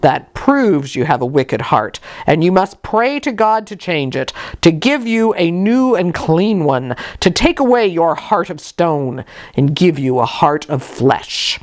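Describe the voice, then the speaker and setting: A woman's voice: lowish voice, sing-song. Woman reading as a pompous, sanctimonious clergyman. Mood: stern. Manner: pompous, sanctimonious, slow deliberate moralizing. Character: stern, pompous, pious middle-aged clergyman, a gentleman inspector. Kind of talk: dramatized audiobook reading.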